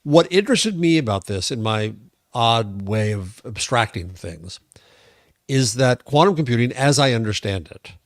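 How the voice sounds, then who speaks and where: A man's voice: mid-range voice, animated, normal speed, dry recording, clean studio sound. A man with an American accent co-hosting a tech podcast, casually reasoning aloud and offering his opinions. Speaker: podcast co-host.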